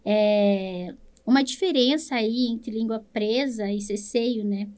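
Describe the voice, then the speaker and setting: A woman's voice: fairly high pitch, takes it slowly, a little mellow. A woman with a Brazilian Portuguese accent, a speech therapist explaining things patiently in an interview, often checking in with a tag question. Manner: explains patiently, ends phrases with "né?".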